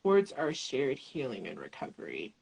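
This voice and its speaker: Low-voiced woman guest, thoughtful. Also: huge pitch swings, normal speed, gravelly, darkish tone, breathy edge, rough recording. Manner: searching, many fillers and restarts, thoughtful. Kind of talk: reflective conversation.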